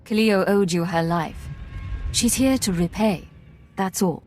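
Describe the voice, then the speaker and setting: An actress voicing a composed, authoritative woman intelligence agent in a video game, speaking in a measured, guarded, formal way. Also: mid-range voice, a little mellow, slight background noise, natural voice. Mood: calm, guarded. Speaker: player character in a video game. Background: music.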